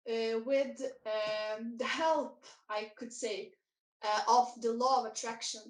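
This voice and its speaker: A young woman with a high voice answers interview questions in an echoey studio. She speaks slowly and tentatively, pausing on fillers and searching for the right word, as a coach from former Yugoslavia. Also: steady tone. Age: young adult. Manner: hesitant, many fillers, searching for words. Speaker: life and business coach.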